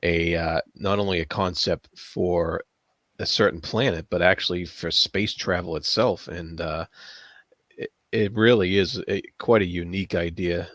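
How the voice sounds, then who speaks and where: Low-voiced man, halting and reflective. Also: steady tone, a bit bright, no room sound, studio quality. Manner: halting, reflective, searching for words. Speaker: book discussion participant. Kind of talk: casual book discussion.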